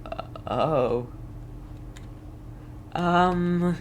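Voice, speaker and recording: A man giving casual, excitable and reactive commentary as he plays a video game. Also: high voice, extremely slow, a little hiss or hum. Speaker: game streamer.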